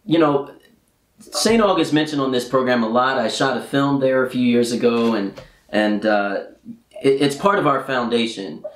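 A man hosting an interview, an actor himself, talks in a relaxed, easygoing manner. His voice sits at a middle pitch. The room around him rings with a noticeable echo. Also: moderate pace. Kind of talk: conversational interview. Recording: roomy, echoey sound.

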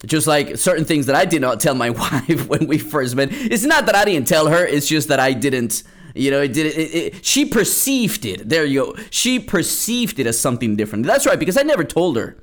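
A man's voice: fairly high pitch, big pitch swings, moderate pace. A young man from Peru hosting a podcast, telling a casual story with lots of restarts and fillers. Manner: rambling, many restarts and fillers. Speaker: podcast host.

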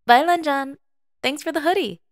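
A woman's voice: sits high, normal speed, pitched above the performer's own voice. A woman voicing a cheerful, easily flustered male teenage student in an audio fiction reading. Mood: bright, grateful.

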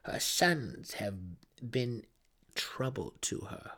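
A man voicing a cruel, imperious female dark elf high priestess with a menacing, scornful snarl in an audiobook. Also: sing-song, drawn-out words, full character voice. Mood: menacing threat.

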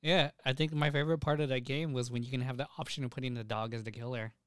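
A man's voice: mid-range voice, little pitch movement, fast talker, very noisy. A man chatting casually as a podcast co-host, emphatic and sweary, telling things with lots of appeals to the listener. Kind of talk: casual chat, profane.

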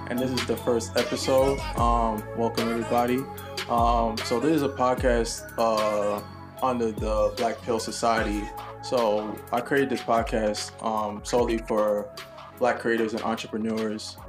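A young man from New York hosts a casual podcast chat. He talks in a relaxed, rambling way, leaning on ums and likes. Faint music sits underneath his voice. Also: medium pitch, measured pace. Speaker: podcast host.

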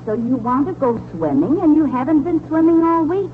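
Brisk 1940s radio mom, gently scolding, high and animated, tinny hissy recording. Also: female voice. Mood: firm. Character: practical middle-aged mother Mary, patient. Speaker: radio actress. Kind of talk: 1940s radio comedy acting. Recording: tinny old 1940s radio transcription with hiss.

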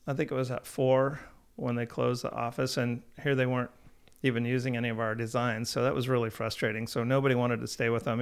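Nasal guy in his 30s rambling through his story, gravelly edge, smooth tone. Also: medium pitch. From Oregon. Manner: rambling with frequent restarts. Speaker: decoy company founder. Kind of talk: casual podcast conversation.

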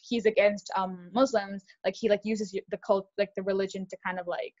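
A young woman co-hosting a podcast, talking fast and animated in casual chat, with lots of "like". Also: medium pitch, dull audio. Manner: fast, animated, heavy use of "like". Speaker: podcast co-host.

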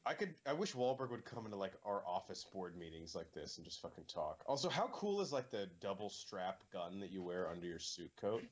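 A man's voice: low-pitched, sing-song, fairly quick, few pauses. A man co-hosting a casual movie commentary, joking, swearing, and slipping into mock voices. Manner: jokey, profane, acts out mock voices. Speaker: podcast co-host.

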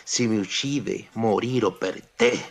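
A man acts out a flirtatious Italian immigrant in a comic radio soap. His voice sits fairly low and he takes his time over every phrase, courting in Italian mixed with Spanish. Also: takes it slowly, gravelly. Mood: amorous. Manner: wooing, mixing Italian and Spanish. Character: flirtatious Italian immigrant suitor in 1950s Venezuela. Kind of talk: humorous radio soap acting.

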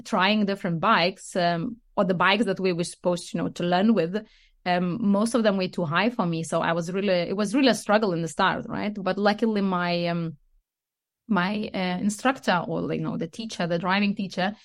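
A woman's voice: on the low side, fairly even intonation, moderate pace. A woman from Lithuania with a Lithuanian accent, chatting casually as a podcast guest and explaining things at length, often checking in with "you know" and "right". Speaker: podcast guest, motorcycle rider. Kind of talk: casual interview chat.

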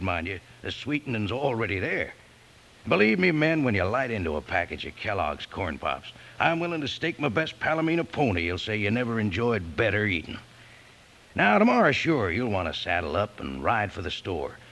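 A man's voice: lowish voice, fairly bright tone, full character voice. A man playing a folksy, hearty cowboy ranch cook giving chummy sales patter in an old radio advertisement. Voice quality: gravelly.

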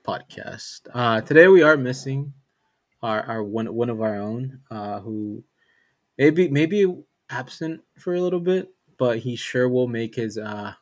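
A man hosting a casual sports podcast, talking in a relaxed way with lots of fillers and repeated words. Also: mid-range voice, measured pace. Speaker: podcast host.

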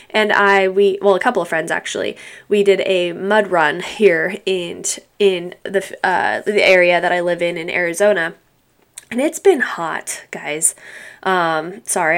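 A young woman hosts her own podcast through a stuffy, congested nose. She talks in a loose, rambling way, full of ums and soft laughs, and her pitch sits in the middle for a woman. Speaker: podcast host. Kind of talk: casual solo podcast talk.

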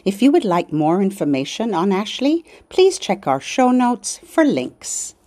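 A woman's voice: medium pitch, sing-song, unhurried, warm tone. A woman hosting a children's show, presenting in simple phrases for babies and toddlers. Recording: music behind the voice. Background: music.

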